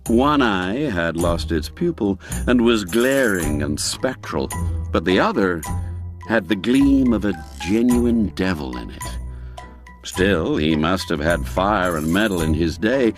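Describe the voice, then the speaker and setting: Male audiobook narrator, lowish pitch, unhurried and measured, for old-fashioned literary storytelling. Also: lively intonation. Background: background music.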